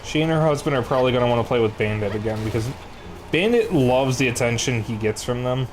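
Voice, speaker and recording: A man with a Chicago accent, streaming a video game and chatting casually with viewers in a relaxed, rambling way. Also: medium pitch, quick pace, a little hiss or hum. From Chicago area, USA. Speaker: live streamer. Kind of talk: casual chat while playing a video game.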